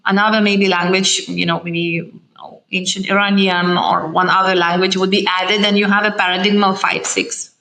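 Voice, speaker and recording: A middle-aged woman with a Lithuanian accent, English being her second language. Her voice sits at an ordinary mid pitch. She explains things carefully and at length, with many "uh"s, small restarts and a thoughtful, measured delivery. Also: level tone, sharp tone, poor audio. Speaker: ambassador. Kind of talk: thoughtful interview answer.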